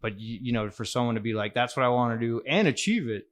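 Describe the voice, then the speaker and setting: A man's voice: medium pitch, lively intonation, quick pace. Hesitant podcast host man. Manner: hesitant, restarts, trails off quietly. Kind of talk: casual interview.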